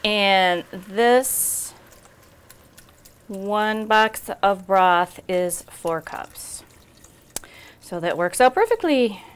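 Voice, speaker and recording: A woman's voice: medium pitch, lively intonation, extremely slow, long pauses, clear, resonant tone. A middle-aged woman hosting a cooking show in a home kitchen, chatting casually through each step with frequent ums and restarts.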